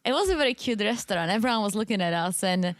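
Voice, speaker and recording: A woman's voice: mid-range voice, animated, fast, no echo, clean studio sound. A woman from the Czech Republic co-hosting a podcast in casual, playful banter. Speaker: podcast co-host. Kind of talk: casual banter and TV recap chat.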